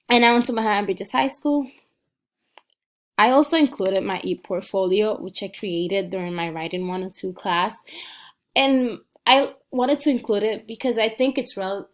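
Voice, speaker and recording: Young woman narrating a casual screencast. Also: medium pitch. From Dominican Republic. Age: 20s. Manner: casual and earnest, with frequent ums and small restarts. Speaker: student. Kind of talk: screencast walkthrough of a personal website.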